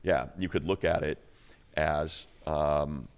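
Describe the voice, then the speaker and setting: A man's voice: rumbling low, steady tone, slow pace. A man co-hosting a sports show, giving analysis in a casual conversational way.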